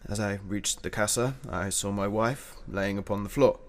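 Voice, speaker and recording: Low-voiced man acting, a grieving, halting general. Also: natural voice. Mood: grave, halting. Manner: halting, formal, subdued. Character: grieving, haunted Edwardian general, gentlemanly widower. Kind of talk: radio play acting.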